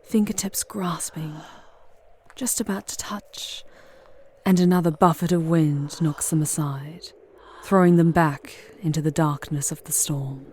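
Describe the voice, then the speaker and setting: Woman playing a slow, sultry female demon. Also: low voice, fairly even intonation, darkish tone, a little hiss or hum. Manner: sultry, breathy, often dropping into whispers; theatrical, formal, drawn-out phrasing. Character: charming, seductive female demon guide through hell. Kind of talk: intimate ASMR roleplay, scripted monologue. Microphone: close ASMR microphone.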